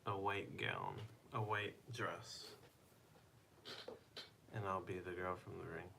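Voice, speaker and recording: A man's voice: low-pitched, animated, slow pace, creaky, background noise. A young man casually chatting on a live stream, playfully teasing and asking viewers questions.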